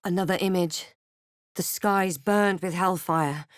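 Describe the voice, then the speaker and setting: A woman playing a wary, snarky fantasy adventurer in a video game, speaking and narrating in a measured, wry, dramatic way. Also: lowish voice, no echo, studio quality, close to an everyday voice. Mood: solemn narrating.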